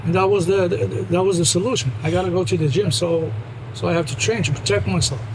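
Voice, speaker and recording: A man's voice: sits high, fairly even intonation, fast talker. A man in his thirties with a Moroccan accent, talking casually as an interview guest in plain, emphatic English. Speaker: guest, professional fighter and trainer. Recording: noisy background.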